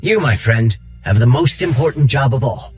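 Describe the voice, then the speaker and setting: A man playing a kind, idealistic young rebel with an expressive, friendly, slightly hesitant manner in a video game cutscene. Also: mid-range voice, normal speed, slightly rough, soft background music. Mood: encouraging.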